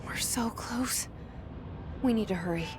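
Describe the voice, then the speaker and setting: An actress playing a wary, determined young female director in a game, speaking her guarded inner thoughts in a hushed, dry monologue. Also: a little high, measured pace, very noisy, natural voice. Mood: tense, urgent.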